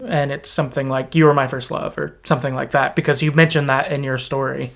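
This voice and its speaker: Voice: medium pitch, level tone, fast, vocal fry. A podcast host chatting casually, retelling a video game's story in loose paraphrase and hedged, informal phrasing.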